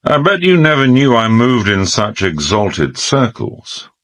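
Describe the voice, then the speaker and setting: An actor playing a musing English gentleman sportsman in a radio drama, ruminative and halting, with a British accent. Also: medium pitch, big pitch swings. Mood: casual, unsure.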